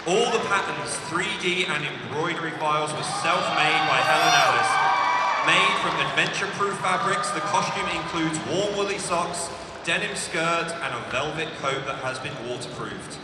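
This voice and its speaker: A man co-presenting a convention stage show, reading out descriptions of entries in a brisk, lively way with the odd dry quip. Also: on the high side, distant mic. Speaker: co-presenter reading entrant notes. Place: large convention hall stage. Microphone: handheld PA microphone. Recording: echoey PA in large hall, heard from afar. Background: loud crowd and hall noise.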